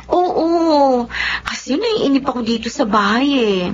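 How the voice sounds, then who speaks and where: Playful young twin sister, sweet high voice, radio drama, faintly noisy audio. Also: female voice, animated, takes it slowly. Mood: playful, coaxing. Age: young adult. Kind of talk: Tagalog radio drama acting. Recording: dull lo-fi radio, faint noise.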